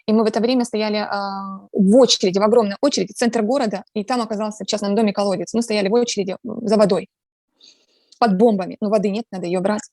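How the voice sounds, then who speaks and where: A woman from Ukraine, a guest in a casual interview, speaking earnestly and reflectively with many pauses and filler sounds. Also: mid-range voice, fairly even intonation, darkish tone, no echo. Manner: earnest, talks about faith.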